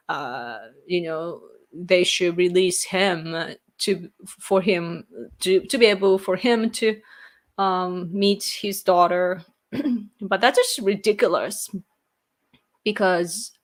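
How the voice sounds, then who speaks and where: Slow-talking woman streamer. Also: mid-range voice, a bit bright. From Japan. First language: Japanese. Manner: rambling, many fillers and restarts, reads chat aloud. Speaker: live streamer. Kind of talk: live stream Q&A chat.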